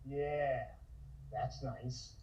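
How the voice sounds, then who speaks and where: A man's voice: medium pitch, fairly even intonation, drawn-out words, darkish tone, muffled recording. A man playing a rude, mocking little speakeasy doorman in a comic table read, sneering and curt.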